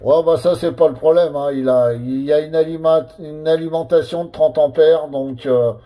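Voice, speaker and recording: A man operating a CB radio, chatting casually with lots of pauses and filler words. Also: a little high, fairly even intonation, mellow. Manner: hesitant, full of fillers and tags.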